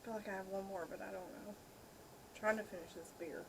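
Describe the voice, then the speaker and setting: A woman's voice: mid-range voice, steady tone, fast, background noise. A woman in casual, joking and crude banter, chatting as co-host of an amateur video. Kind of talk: casual chat.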